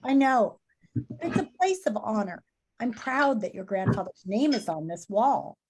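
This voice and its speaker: A woman reading the part of a gentle, solemn father in a storybook, reassuring and earnest. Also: on the high side, lively intonation, a little slow, slightly rough, rough recording. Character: gentle, solemn father comforting his son. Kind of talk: storybook read-aloud.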